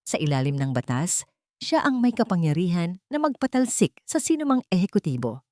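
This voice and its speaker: A woman's voice: lowish voice, very animated, brisk, warm tone, dry recording, clean studio sound. A woman with a Filipino accent playing a composed professional man in an audio drama, speaking formally and with control. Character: composed professional man presenting legal papers to board.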